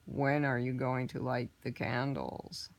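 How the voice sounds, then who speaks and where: A woman voicing a horned, red-faced male goblin who speaks low and slow and is greedy for gold, in a storybook reading. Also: level tone, vocal fry, heavily acted. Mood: sly.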